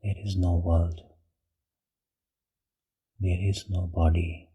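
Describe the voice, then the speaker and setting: A man leading a guided meditation in a hushed, breathy voice, with short phrases and long pauses. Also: low-pitched, little pitch movement.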